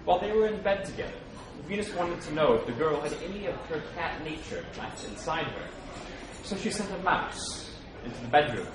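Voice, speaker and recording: A man's voice: on the high side, expressive, brisk, a crowd in the background. A man reading stories aloud dramatically to a live audience, recorded from a distance in a very echoey, noisy room. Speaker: reader at a public read-aloud. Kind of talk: dramatic scripted storytelling.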